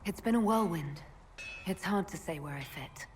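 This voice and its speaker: An actress playing a composed, resolute young elf warrior in a video game, speaking formally and directly. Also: female voice, on the low side, moderate pace, a little hiss or hum, natural voice. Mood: calm, candid. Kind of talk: video game dialogue acting.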